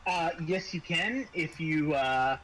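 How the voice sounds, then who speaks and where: A man with a slightly high voice is running a tabletop roleplaying game. He explains rules casually, pausing between short phrases, with faint noise behind him. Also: animated, a bit bright, low-quality recording. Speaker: game master.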